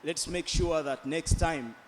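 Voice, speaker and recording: A man in the audience making a comment to the panel, halting and pleading. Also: a little high. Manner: halting, pleading, with slow stretches. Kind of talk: comment from the floor. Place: large conference hall. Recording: long hall reverb, faint background noise.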